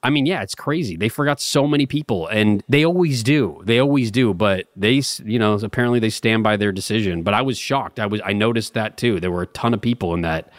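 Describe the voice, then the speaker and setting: A man's voice: on the low side, a little fast, few pauses, no echo, studio quality. A young man co-hosting a podcast, talking earnestly and rambling with stammered restarts. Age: young adult. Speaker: podcast co-host. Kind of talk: casual podcast banter.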